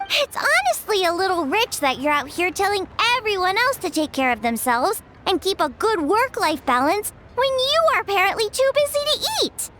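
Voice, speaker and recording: A woman's voice: very high-pitched, very animated, moderate pace, few pauses, noisy background. A woman voicing a spirited young chef girl in a video game, bubbly, teasing, bossy and caring. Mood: teasing, scolding. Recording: music behind the voice. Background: music.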